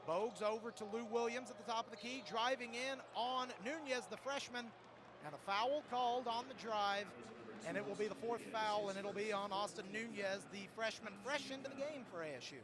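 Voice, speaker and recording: A man's voice: sits high, a little fast, a crowd in the background. A man calling a game live as a radio play-by-play announcer. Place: indoor sports arena. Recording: noisy live sports arena broadcast.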